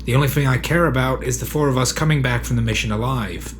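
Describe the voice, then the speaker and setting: A man playing a quiet, sober close-combat soldier in a sci-fi audio drama. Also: medium pitch, fast, a little hiss or hum, natural voice. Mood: sober, guarded.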